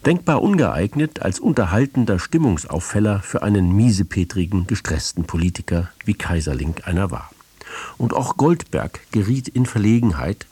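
A man presenting an audio play on the radio, reading a scripted introduction in a measured, formal, literary manner. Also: low voice, dark tone, slightly rough, no echo.

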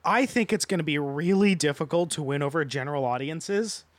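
A man with a fairly high voice talks as a podcast co-host. He sounds informal and opinionated in casual group discussion, recorded without any room sound. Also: brisk, no room sound. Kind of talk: casual panel discussion of films.

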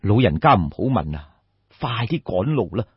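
A man's voice: lowish voice, big pitch swings, moderate pace, slightly rough, no room sound, pitched below the performer's own voice, exaggerated voice. A man voicing a compassionate scholarly official in an audiobook story, courteous, earnest and concerned. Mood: urging. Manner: earnest questioning, polite address. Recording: dull, muffled lo-fi audio.

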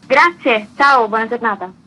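A woman's voice: a little high, a little slow, over music, poor audio. A woman giving conversational answers in an interview as an artistic director.

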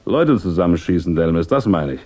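An actor playing a gruff, suspicious homicide inspector, terse and insinuating, in a radio crime drama. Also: male voice, sits low, expressive, brisk, darkish tone. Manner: terse, clipped, insinuating questioning.

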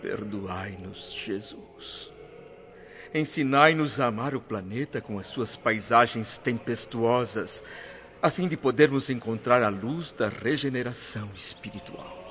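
A man's voice: mid-range voice, a little slow, fairly bright tone, close to an everyday voice. Radio soap: male actor as humble penitent soul at prayer, reverent, imploring, lo-fi audio. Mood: reverent pleading. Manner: reverent, pleading, solemn. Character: repentant male spirit praying humbly to the Lord. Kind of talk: radio soap opera acting. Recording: dull, muffled lo-fi audio.

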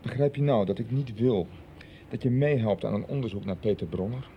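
A man's voice: fairly low pitch, a little fast, highs cut off, slight background noise, close to an everyday voice. An actor in a radio soap playing a grave, secretive man who presses and pleads with his brother. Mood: pleading, pressing. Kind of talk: 1990s Dutch radio soap acting.

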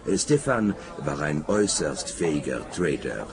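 An actor in a radio play playing a self-important, evasive bank director who talks in a halting, stuffy way. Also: male voice, a bass voice, very animated, a crowd in the background, exaggerated voice. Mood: stuffy, regretful. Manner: halting, stuffy, full of drawn-out hesitations.

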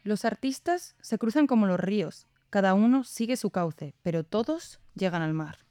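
Audio drama role: actress for idealistic, reflective woman philosopher. Soft dark tone, contemplative pacing of thought, dry studio sound. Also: mid-range voice, expressive, moderate pace, dry recording, close to an everyday voice. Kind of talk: scripted audio drama dialogue.

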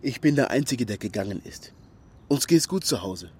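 A man's voice: medium pitch, expressive, normal speed, a little mellow, slightly rough. An actor playing a proud, hunted young migrant man from Ghana in a radio drama, speaking in short clipped sentences. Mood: guarded, proud.

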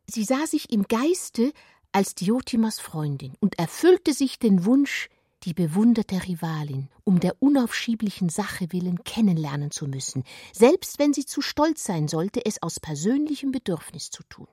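A woman reading literary narration for a radio play in a measured, articulate, expressive way. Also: medium pitch, husky, no echo, clean studio sound.

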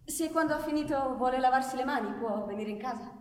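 A woman's voice: high voice, quick pace, slight background noise, natural voice. An actress performing expressively in a radio play, voicing a woman character. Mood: gentle, curious. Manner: expressive, theatrical. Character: cemetery caretaker's wife, gentle and helpful. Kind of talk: live radio theatre acting with music. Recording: roomy, echoing stage sound, distant mic.

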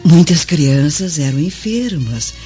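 A woman's voice: low-pitched, animated, slow. An actress playing a devout servant woman, telling her story tenderly and reverently in a declamatory radio drama style. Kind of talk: Brazilian radio drama acting. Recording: dull audio, soft music behind.

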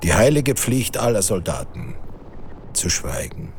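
An actor playing a grave, literary narrator of a war story, telling it with measured emphasis in a radio drama. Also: male voice, deep voice, sing-song, darkish tone, soft background music. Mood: grave, knowing. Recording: clear noise behind the narration.

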